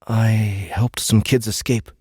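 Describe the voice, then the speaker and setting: Man playing a guarded teenage boy, slightly lifted pitch. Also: flat delivery, a little slow, dark tone, husky, dry recording, clean studio sound. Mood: vulnerable, hopeful. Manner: guarded, hesitant. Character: wary fourteen-year-old human boy recruit.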